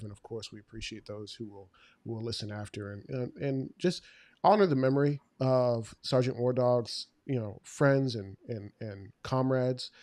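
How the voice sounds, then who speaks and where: A man hosting a casual podcast interview, a bit stuffy from a flu, halting and repeating words as he asks questions. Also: medium pitch, a little mellow, dry recording. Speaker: podcast host.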